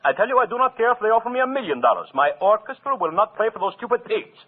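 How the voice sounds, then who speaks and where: An actor playing a haughty, jealous older orchestra conductor in a brusque, formal 1940s radio style. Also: male voice, sits high, big pitch swings, fast, background noise. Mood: imperious irritation. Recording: tinny, muffled vintage radio recording.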